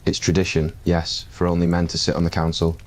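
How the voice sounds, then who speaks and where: A man playing a confident, teasing young king in an ASMR audio story. His voice is very deep and fairly flat, and he speaks low, smug and relaxed. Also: fairly quick, lightly acted.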